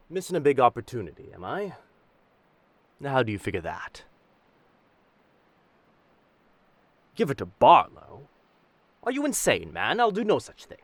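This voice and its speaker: A man's voice: medium pitch, no room sound. A man playing a roguish, commanding pirate captain in a roleplay audio drama, speaking in old seafaring diction. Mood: irritated, incredulous. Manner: old seafaring diction, addresses others as lass and sir.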